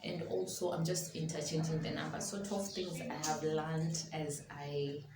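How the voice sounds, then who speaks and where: A woman's voice: deep voice, little pitch movement, measured pace. A woman, chatting casually to camera as a vlogger and often rephrasing herself. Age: early 20s, twenty-one. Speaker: YouTube vlogger. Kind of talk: casual sit-down chat to camera. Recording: echoey room with clear background noise.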